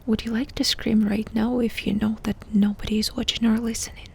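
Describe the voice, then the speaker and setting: A woman doing ASMR in a breathy whisper, softly and intimately asking questions with pauses between them. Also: a little high, little pitch movement. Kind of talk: whispered ASMR personal-attention roleplay.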